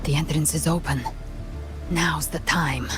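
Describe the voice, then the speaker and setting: An actress playing a commanding, seasoned monster hunter who briefs her allies in a game cutscene, in a steady, measured way. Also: deep voice. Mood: determined. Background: game score music.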